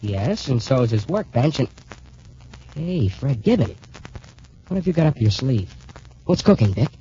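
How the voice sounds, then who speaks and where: Casting: skeptical young best pal, slangy and animated, for vintage radio adventure, muffled old recording. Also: male voice, mid-range voice, unhurried, a little hiss or hum. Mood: eager. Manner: slangy, exclamatory. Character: skeptical, loyal young male student sidekick. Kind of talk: 1940s radio adventure serial acting.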